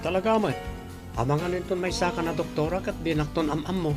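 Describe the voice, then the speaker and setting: An actor with a Filipino accent playing a teasing, smitten teenage schoolboy in a radio drama. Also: male voice, a little high, fairly even intonation, fast talker, over music, natural voice. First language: Ilocano.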